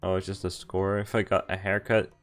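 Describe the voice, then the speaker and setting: Low-voiced man streaming a game. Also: level tone, brisk. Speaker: streamer playing a visual novel. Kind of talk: casual game stream, reading dialogue aloud with commentary. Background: game music.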